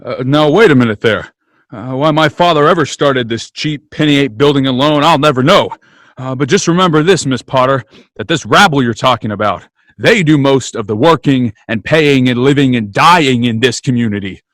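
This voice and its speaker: Guy doing earnest small-town young man w big dreams, folksy halting old radio drama style, close dry sound. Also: medium pitch, a bit bright, dry recording, natural voice. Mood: indignant. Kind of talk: old-time radio theatre acting.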